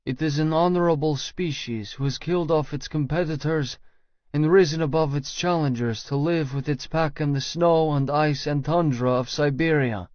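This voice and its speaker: A man's voice: a little high, moderate pace, dry recording, muffled recording. A man reading scripted first-person fiction as an audiobook narrator.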